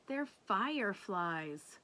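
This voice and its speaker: A woman's voice: medium pitch, sing-song, very slow, sharp tone, exaggerated voice. A woman voicing a matter-of-fact, dismissive young brother in a storybook, correcting with emphasis.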